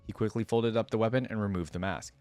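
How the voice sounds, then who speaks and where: A man's voice: on the low side, fairly even intonation, fast talker, a little mellow, smooth, no echo, faint music behind. A man hosting a reaction video, informal in manner, moving between reading a story aloud and casual commentary.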